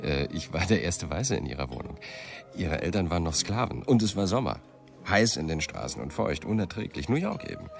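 A man's voice: low-pitched, big pitch swings, a little fast, gravelly, soft background music, heavily acted. An actor playing a brooding, self-doubting male writer and storyteller in a German radio play. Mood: wry, reflective.

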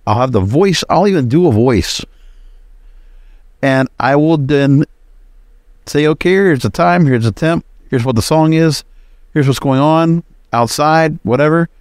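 A man's voice: fairly high pitch, measured pace, a little mellow, no echo, clean studio sound. A man hosting a solo podcast, talking casually in a rambling, conversational way. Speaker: podcast host.

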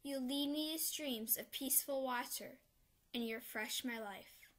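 A woman, serving as lector, reading the responsorial psalm in a calm, measured way with a repeated refrain. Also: high voice.

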